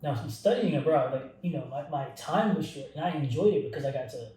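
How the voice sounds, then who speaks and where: A man's voice: a little high, animated, brisk, mellow, a little hiss or hum. A young man co-hosting a casual podcast, talking in a rambling way with many restarts and "like" fillers. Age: young adult. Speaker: podcast co-host. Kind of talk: casual chat. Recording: very echoey room, distant from mic.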